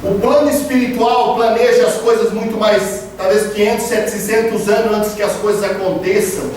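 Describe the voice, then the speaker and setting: A man's voice: high-pitched, steady tone, normal speed, darkish tone. A man giving an earnest religious lecture to a live audience, explaining and repeating phrases for emphasis. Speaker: speaker giving a public spiritist lecture. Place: lecture room at a spiritist house. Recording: very echoey hall, distant mic, background noise.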